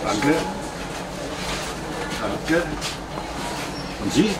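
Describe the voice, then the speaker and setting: A man's voice: medium pitch, sing-song, extremely slow. A man playing a polite, routine ticket inspector in naturalistic radio drama dialogue. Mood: businesslike, polite. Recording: loud vehicle noise behind.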